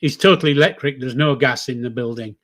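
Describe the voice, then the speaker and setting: An older man from East Yorkshire with a softened Yorkshire accent, chatting and rambling on a livestream with a friend. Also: medium pitch, fast. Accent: Yorkshire, softened by years in the south. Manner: chatty, rambling, laughs often, reads out viewer names. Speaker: livestream co-host. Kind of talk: casual livestream chat.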